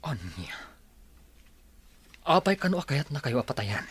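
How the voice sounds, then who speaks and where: A man's voice: medium pitch, very animated, unhurried, breathy edge, no echo, full character voice. A man with a Filipino Ilocano accent playing an anxious son seeking his father's killer in a radio drama. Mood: alarmed.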